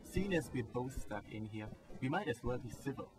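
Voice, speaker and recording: A man's voice: medium pitch, animated, moderate pace, music in the background, lightly acted, low-quality recording. A man acting a polite soldier in a wartime radio drama. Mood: civil, friendly. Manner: polite, mixes in German words. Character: calm, civil German soldier, former medical student.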